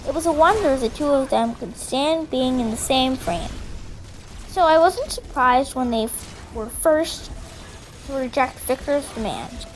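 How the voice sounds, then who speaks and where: A woman's voice: high voice, lively intonation, unhurried, slight background noise, rough recording. A woman voicing an earnest female rebel robot, solemnly reading dramatic logs of an uprising. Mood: earnest, reflective. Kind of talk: scripted dramatic log narration. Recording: music behind the voice.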